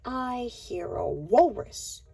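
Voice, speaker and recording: Casting: female picture-book reader, extremely slow and very mellow, sing-song, sometimes near whispering. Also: a little high, lively intonation, vocal fry, slightly rough, faint music behind. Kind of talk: expressive picture-book read-aloud for young children.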